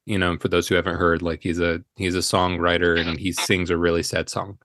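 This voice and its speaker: Male podcast guest, deep register, reflective and conversational, recorded dry. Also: flat delivery, a little fast, fairly bright tone, dry recording. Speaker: podcast guest, indie audio drama creator. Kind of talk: reflective casual interview talk.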